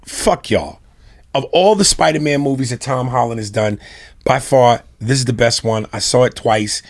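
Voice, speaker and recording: A man hosting a casual podcast, talking in an animated, profane and emphatic way. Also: mid-range voice, normal speed. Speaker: podcast host. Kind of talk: casual comedic chat.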